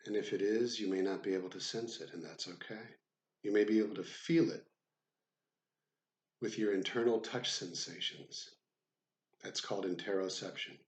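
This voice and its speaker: A man guiding a meditation as an instructor, speaking in a measured, deliberate way with frequent pauses. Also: lowish voice, echoey room. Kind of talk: guided body scan meditation instruction. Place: room with the door left open.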